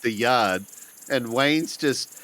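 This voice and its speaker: A man playing a tabletop roleplaying game, casually improvising his character's actions and halting as he searches for words. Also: mid-range voice, a bit bright, no echo.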